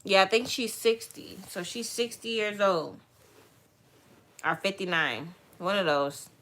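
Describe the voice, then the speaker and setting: A woman, chatting casually and candidly with viewers, rambling and turning soft and heartfelt as she talks. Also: medium pitch, fairly even intonation, unhurried. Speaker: vlogger answering viewer questions. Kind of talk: casual livestream chat.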